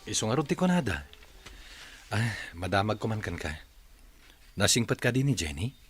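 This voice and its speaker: An actor playing a polite, soft-spoken young office trainee in a radio drama, with a husky voice and a Filipino accent. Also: male voice, lowish voice, big pitch swings, moderate pace, soft background music. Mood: shy, curious. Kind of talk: radio drama acting and show opening.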